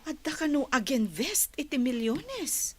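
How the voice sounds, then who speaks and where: A woman's voice: high voice, sing-song, measured pace, darkish tone, a little hiss or hum. A woman with an Ilocano accent acting as a scheming, self-assured young wife in a radio drama. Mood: pleased.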